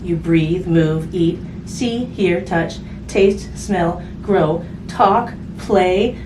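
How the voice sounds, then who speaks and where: A woman with a fairly low voice reads a children's book aloud in a conversational storytime style, pausing for casual asides to her young listeners. She sounds set back from the microphone in an echoey room, with clear noise in the recording. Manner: conversational, simple phrasing aimed at children, with tag questions. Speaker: hospice volunteer reading a picture book to children. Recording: roomy, distant mic with background noise.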